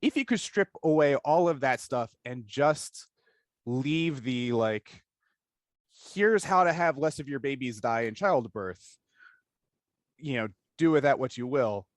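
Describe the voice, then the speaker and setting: Man, medium pitch, interview host, casual, halting, rambling, thinking aloud, dry close sound. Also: animated, dry recording.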